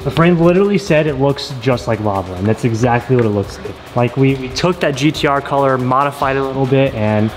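An American man, around his thirties, talking casually and excitedly in a slangy vlog style. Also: medium pitch, brisk, few pauses. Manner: hyped, slangy. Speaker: car vlogger. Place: car park and paint shop. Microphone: handheld camera at arm's length. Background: music.

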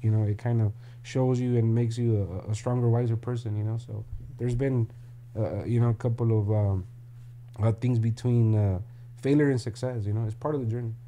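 A man's voice: medium pitch, fairly even intonation, moderate pace, warm tone, slight background noise. Blunt middle-aged man, frank and open. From Mexico. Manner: blunt and open, many "you know" fillers and restarts. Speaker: record label CEO, guest. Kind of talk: candid interview talk. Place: office room with desk and paintings. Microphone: studio mic on a boom arm.

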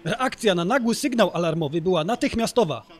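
A man's voice: sits high, expressive, brisk. A man reading scripted narration for a local news report. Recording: voice-over with music behind. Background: music.

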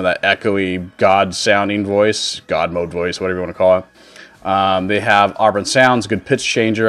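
Low-voiced man giving a casual tutorial. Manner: informal, rambling explanation. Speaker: streamer and tutorial host. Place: indoor home streaming setup.

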